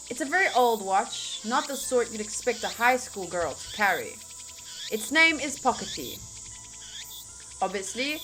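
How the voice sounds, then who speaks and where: A woman streaming a visual novel, reading lines aloud in an expressive, playful, exclamatory way. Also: a little high, a little hiss or hum, rough recording. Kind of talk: animated visual novel playthrough narration. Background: game music.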